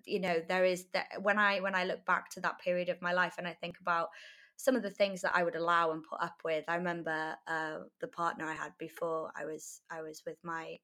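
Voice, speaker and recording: Reflective woman with a lowish voice. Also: flat delivery, fairly quick, bright, forceful tone. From North Wales. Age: late 20s. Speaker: interview guest, article writer. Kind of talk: candid conversational interview answers.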